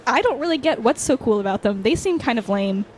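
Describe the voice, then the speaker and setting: A woman acting as a young college student in an audio drama. She is skeptical and casually teasing, with a fairly high, swooping voice over faint background noise. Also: lively intonation, a little fast, close to an everyday voice.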